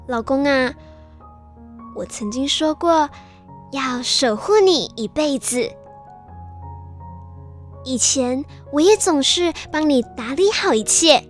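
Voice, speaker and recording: Actress as sad devoted young woman, slow tender highish voice, radio drama with music behind. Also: lively intonation. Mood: longing, grieving. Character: devoted heartbroken young woman saying farewell to lover.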